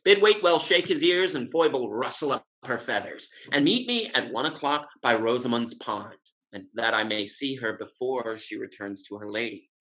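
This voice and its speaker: A man performs a witty, amorous gentleman schemer from a Restoration stage comedy. His voice is bright and forward. He speaks ornate period lines with wry, articulate polish. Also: medium pitch, animated, normal speed, poor audio. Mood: cool, commanding. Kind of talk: Restoration comedy play reading.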